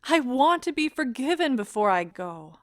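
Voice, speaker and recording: A woman playing an anguished, proud wife in an audio drama, speaking with deliberate emotional intensity. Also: high-pitched, big pitch swings, dry recording, studio quality. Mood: pleading. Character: anguished, proud wife pleading as her marriage ends.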